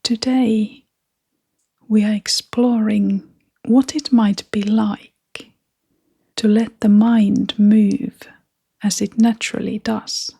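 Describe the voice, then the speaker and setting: Slow, breathy woman guiding meditation. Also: medium pitch, little pitch movement. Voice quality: soft, breathy, half-whispered. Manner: gentle, unhurried, with long pauses. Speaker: meditation guide. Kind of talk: gentle guided meditation.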